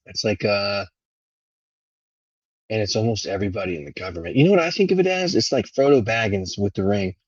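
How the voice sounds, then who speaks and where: Husky low-voiced man rambling on a livestream. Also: no echo, highs cut off. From Venezuela. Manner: rambling, halting, with throat clearing and trailing thoughts. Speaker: live stream host. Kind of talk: casual unscripted livestream monologue.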